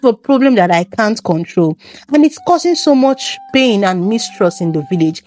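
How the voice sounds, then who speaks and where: A woman's voice: medium pitch, expressive, moderate pace, a little mellow, lightly acted. A woman storyteller voicing a troubled, guilt-ridden young village beauty in an earnest, confessing way. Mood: pleading, distressed.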